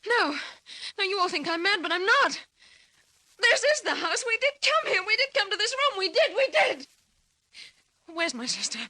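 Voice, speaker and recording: A woman's voice: very high-pitched, very animated, moderate pace, bright, forceful tone, no room sound, heavily acted. An actress playing a frantic, pleading young woman in an old-time radio drama, breathless and insistent, sometimes stammering. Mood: hysterical. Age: young adult. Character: frantic, pleading young woman seeking her missing sister.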